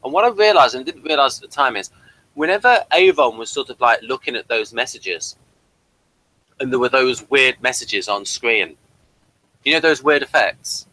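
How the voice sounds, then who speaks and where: Lively, bright-voiced man in casual review chat. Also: mid-range voice, poor audio. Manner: halting, digressive. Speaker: co-host of a TV review show. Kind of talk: casual review chat with some reading aloud.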